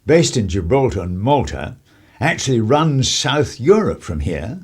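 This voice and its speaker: An urbane 1930s English politician, acted by a man in a radio drama. He has a husky, lively voice and a wry, worldly, clubbable manner with old-fashioned upper-class phrasing. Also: medium pitch, measured pace, full character voice. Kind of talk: radio play acting.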